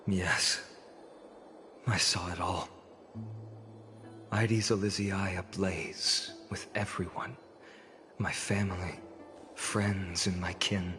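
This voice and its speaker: A man voicing an earnest, good-natured young hero in scripted video game dialogue, gentle and sincere. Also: deep voice, fairly even intonation, takes it slowly, pauses often, full character voice. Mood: haunted. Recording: music underneath.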